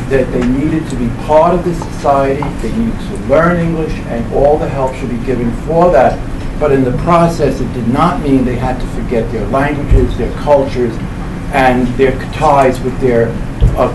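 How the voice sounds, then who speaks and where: Older man lecturing in an echoey room. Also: medium pitch. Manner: emphatic, repeats phrases for emphasis. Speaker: professor presenting a book. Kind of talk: informal public lecture. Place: small indoor event room. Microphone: camera a few metres away. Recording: echoey room, distant mic, background hum.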